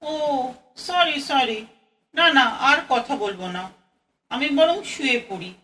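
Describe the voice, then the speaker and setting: Actress as courteous, curious young Bengali woman, high lilting voice, educated and composed, audio drama. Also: big pitch swings, takes it slowly, bright, forceful tone, far from the mic, heavily acted, poor audio. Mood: gently probing. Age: young adult. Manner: polite, questioning, composed. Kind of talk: audio play acting.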